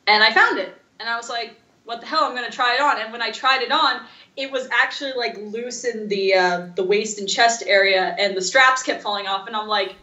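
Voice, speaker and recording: A woman co-hosting a casual podcast, rambling through stories in an animated, informal way. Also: fairly high pitch, a little fast, echoey room, muffled recording. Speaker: podcast co-host. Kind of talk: casual chat.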